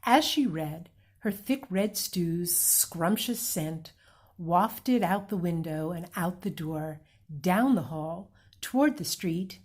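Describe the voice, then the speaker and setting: Slow, breathy storytime reader, woman. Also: lowish voice, expressive. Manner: slow, gentle storytime reading, pointing out pictures. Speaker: read-aloud reader. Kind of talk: children's picture book read-aloud.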